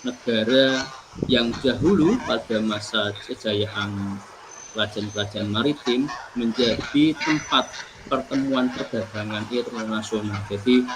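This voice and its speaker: A middle-aged man from Pekalongan giving a webinar lecture from slides in a measured way, often pausing with fillers as he searches for words. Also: lowish voice. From Indonesia, Pekalongan. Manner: measured, with frequent hesitations. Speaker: webinar presenter. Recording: clear background noise.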